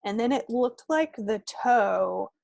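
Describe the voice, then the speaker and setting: A woman's voice: on the high side, animated, takes it slowly, vocal fry, a little mellow, no echo. A woman co-hosting a podcast, chatting casually in a rambling, self-deprecating way. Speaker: podcast co-host. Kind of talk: casual chat about knitting projects.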